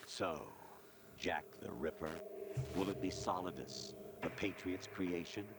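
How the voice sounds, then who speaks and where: An actor playing the cold, commanding voice of a secret ruling power, speaking in a measured, ominous, mocking way. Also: male voice, low-pitched, very noisy.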